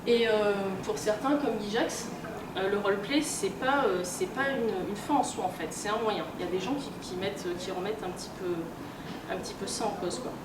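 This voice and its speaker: A woman giving an informal convention talk, explaining in a colloquial, hesitant way with many filled pauses. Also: mid-range voice. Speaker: speaker, role-playing game researcher and editor. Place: indoor room at a games convention. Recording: roomy, distant, noisy live convention recording.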